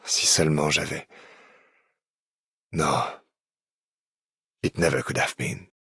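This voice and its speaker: An extremely low, creaky voice as a grim, brooding video game figure. He speaks slowly and wearily, with a rasping breathiness. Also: steady tone, a bit bright, dry recording, clean studio sound, heavily acted. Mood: grim, regretful. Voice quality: gravelly. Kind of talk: video game character voice acting.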